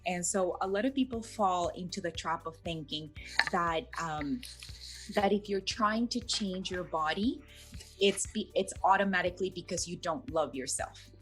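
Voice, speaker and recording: Playful woman coach, lowish voice, fillers. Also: normal speed, a bit bright, faint music behind, low-quality recording. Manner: playful, many fillers and restarts. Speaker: fitness coach, guest. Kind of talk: casual interview answers.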